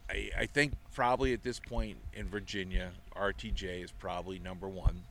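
A man's voice: low voice, fairly even intonation, normal speed, gravelly, a bit bright, a little hiss or hum. A middle-aged man, a guest on a golf podcast, telling rambling stories in casual interview talk. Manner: chatty, rambling storyteller. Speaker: guest, avid golfer.

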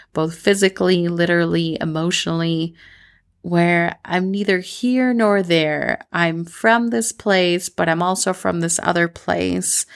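A woman in her thirties from Colombia hosts her own podcast and shares her life story in a chatty, reflective way. Her voice sits fairly low with a gravelly touch of fry, and she talks in easy, unscripted runs. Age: 30s. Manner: warm, reflective, chatty with fillers. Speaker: podcast host. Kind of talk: personal storytelling monologue.

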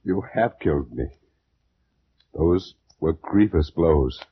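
An actor playing a jealous, vengeful ancient Egyptian murderer with theatrical, deliberate 1940s radio drama delivery. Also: male voice, extremely low pitch, lively intonation, heavily acted. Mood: gloating. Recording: dull, noisy 1940s radio recording.

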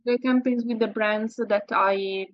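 A woman's voice: fairly high pitch, steady tone, no echo. Italian-accented woman, dry muffled sound. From Italy. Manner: halting, many restarts and fillers, laughs often. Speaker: guest, landscape photographer. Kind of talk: interview answers.

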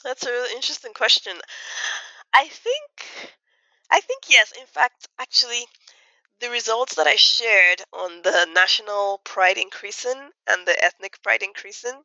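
A woman from Nigeria giving thoughtful interview answers as a guest, measured and reflective with fillers. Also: on the high side, expressive, pushed and bright, no room sound, dull audio. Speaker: guest economist.

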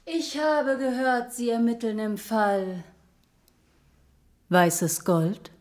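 A woman's voice: fairly high pitch, steady tone, darkish tone. A woman playing a seductive, flirtatious talking milk jug as a femme fatale in a noir detective parody. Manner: slow, flirtatious, sultry.

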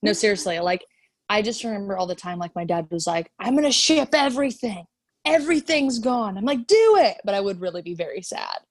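A woman's voice: medium pitch, animated, brisk, dry recording. Young woman co-host, blunt and teasing, laughs easily, mid-range voice, dry close mic. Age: 20s. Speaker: podcast co-host. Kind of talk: casual chat.

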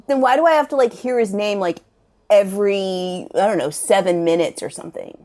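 A woman playing an unimpressed slacker student of magic, griping in halting, slangy speech in an improvised comedy sketch. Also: mid-range voice, darkish tone, close to an everyday voice. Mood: sardonic, griping.

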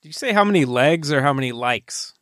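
Male co-host for casual podcast banter: wry, crisp, clean voice in a dry space. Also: a little high, expressive, fairly quick, fairly bright tone, no echo. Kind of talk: casual chat.

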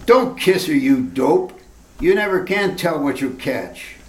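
A man's voice: fairly high pitch, expressive, unhurried. A man playing a surly germ-phobic hoodlum. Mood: gruff. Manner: gruff, tough-guy slang, fussy about germs. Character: germ-phobic male gangster, surly and fussy. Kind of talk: retro radio drama acting. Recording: roomy echo.

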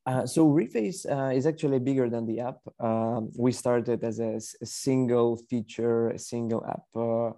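A man's voice: medium pitch, fairly even intonation, moderate pace, hardly any pauses, mellow. A young man from Ukraine with a Ukrainian accent, answering as an executive guest in a conversational podcast interview. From Kyiv, Ukraine.

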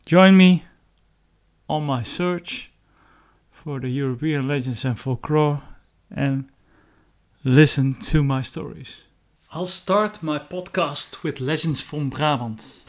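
A man with a Dutch accent, a native Dutch speaker, hosting his own podcast in plain, earnest talk with hesitant fillers. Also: medium pitch. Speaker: podcast host. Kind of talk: casual solo podcast talk.

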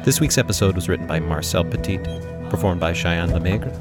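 Low-voiced man announcing over music. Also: a little fast. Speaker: announcer, sound designer. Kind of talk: scripted credits narration.

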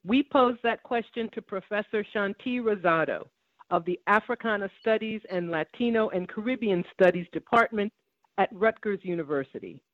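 Male radio host, steady scripted read, high pitch, dry sound. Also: moderate pace, no echo, phone-quality sound. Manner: steady, announcer-like. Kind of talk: scripted news narration.

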